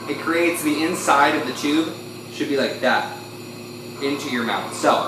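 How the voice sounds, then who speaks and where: A man's voice: medium pitch, far from the mic, background noise. A man gives an informal instrument tutorial in an echoey room, a little off the mic. He explains step by step in a hesitant way, often correcting himself. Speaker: instructor demonstrating a talk box.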